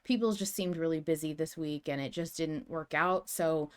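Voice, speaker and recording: A woman's voice: low voice, moderate pace, smooth. A woman co-hosting a podcast in casual discussion, hesitant and self-deprecating as she talks. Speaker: podcast co-host.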